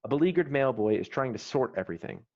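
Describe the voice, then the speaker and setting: A man's voice: medium pitch, lively intonation, fast, darkish tone. A man reading stage directions and scene headings as the narrator of a screenplay. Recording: dull, slightly muffled online call audio.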